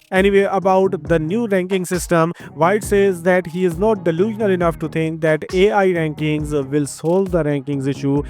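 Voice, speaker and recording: A man's voice: a little high, a little mellow. Indian-accented man reading fight news. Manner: conversational, casual asides. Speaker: host.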